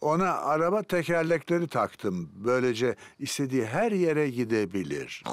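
A man's voice: mid-range voice, big pitch swings, normal speed, no room sound. An actor playing a proud, jolly grandfather pig who drives a train, in a dubbed preschool cartoon. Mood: proud, cheerful. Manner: hearty, proud.

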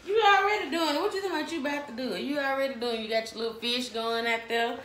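A woman's voice: sits high, racing, roomy sound, slight background noise. A young woman, teasing her husband in casual family banter. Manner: teasing, sassy, lively. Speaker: wife and co-host.

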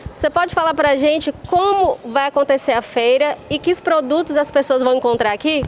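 A woman reporter speaks in a high, clear voice into a handheld mic outside. She delivers a scripted introduction and tidy questions for a local news piece. Faint traffic hums in the background. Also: moderate pace. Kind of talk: news interview. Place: outdoors by a mural wall.

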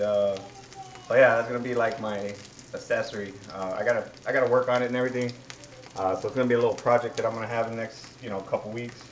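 A man talks informally in a mid-pitched voice while he cooks at home. His delivery is halting, as if thinking aloud. The sound is roomy, with plenty of kitchen noise behind him. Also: a bit bright. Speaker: host of a home cooking livestream. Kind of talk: casual chat while cooking. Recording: echoey kitchen, noisy with sizzling pan.

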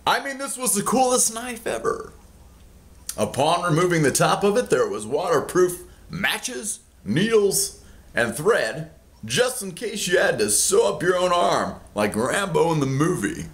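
A man's voice: high voice, big pitch swings, measured pace. A man in his forties, from Kansas, reading his own memoir aloud in a theatrical, sardonic way, close to a webcam in a small room at home. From Kansas, USA. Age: 40s. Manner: theatrical, sardonic, bursts into loud emphasis.

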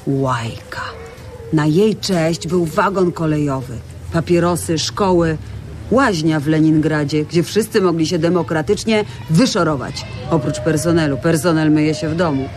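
A woman's voice: low voice, unhurried, a little mellow. An actress playing a stubborn young woman in a radio drama, talking in an insistent, matter-of-fact way. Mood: earnest. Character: stubborn young woman fixated on her own stories. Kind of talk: Polish radio drama acting.

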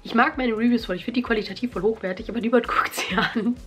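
A young woman talks to her camera from her bedroom, lively and chatty. Her voice is a bit nasal because she has a cold, and she is picked up by the camera's own mic at desk distance. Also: a little high, fast, husky. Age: young adult. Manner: chatty, animated, exclaiming. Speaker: YouTuber. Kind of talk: casual vlog chat. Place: bedroom at home.

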